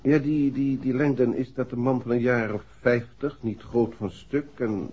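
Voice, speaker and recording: Actor as husky, probing police inspector, old radio. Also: male voice, mid-range voice, fairly even intonation, lightly acted. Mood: measured, inquisitive. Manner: formal, measured, inquisitive. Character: polite, probing male police inspector on a murder case. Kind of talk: 1960s radio play acting. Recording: muffled 1960s radio recording.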